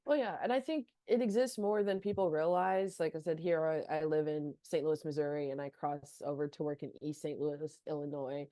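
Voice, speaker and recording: Young woman, low pitch, mellow tone, dry close sound, chatty with lots of fillers. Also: steady tone, fairly quick, hardly any pauses, no room sound. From North Carolina. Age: young adult. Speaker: guest athletic trainer. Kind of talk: casual podcast interview.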